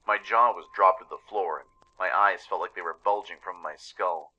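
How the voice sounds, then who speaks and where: A man narrating a scripted first-person story dramatically, with deliberate emphasis. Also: deep voice, steady tone, sharp tone, muffled recording.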